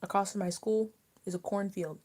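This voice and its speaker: A young woman hosting a casual livestream while she eats dinner. She rambles and drops often into soft near-whispers. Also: mid-range voice, fairly even intonation, normal speed. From US mid-Atlantic, rural border area. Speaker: livestream host. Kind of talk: casual chat with live viewers while eating.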